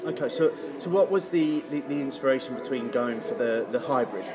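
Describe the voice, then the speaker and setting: Guy podcast host doing an interview, normal pitch, music in the background. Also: fairly quick.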